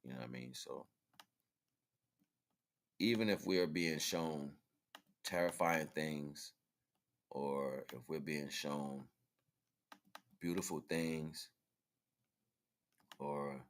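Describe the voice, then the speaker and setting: Very deep-voiced man, casual livestream host. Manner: informal, slangy, speaking in halting fragments. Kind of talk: casual, rambling spiritual commentary on pictures.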